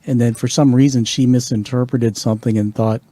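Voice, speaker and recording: A man from England hosts a relaxed interview in a mellow, warm voice. He speaks enthusiastically, often restarting his sentences as he gushes about the guest's book. The sound is dry and close to the mic. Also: mid-range voice, a little fast, no echo. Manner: enthusiastic, rambling with restarts and praise. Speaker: podcast host. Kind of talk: conversational interview.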